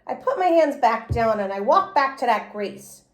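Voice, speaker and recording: A woman performs an expressive children's read-aloud in a childlike manner. Her high voice swings widely in pitch. The room gives it noticeable echo. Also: moderate pace. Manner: expressive, childlike first-person delivery. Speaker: teacher reading aloud. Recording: roomy, echoey indoor sound.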